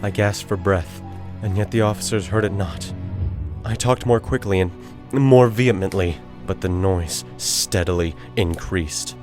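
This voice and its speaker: A man voicing a guilt-crazed murderer in a theatrical, deliberate dramatic reading of a gothic horror story. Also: deep voice, fairly even intonation, soft background music. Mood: growing unease. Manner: theatrical, deliberate phrasing with drawn-out words. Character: guilt-crazed murderer, feverishly confident, unraveling into frantic confession.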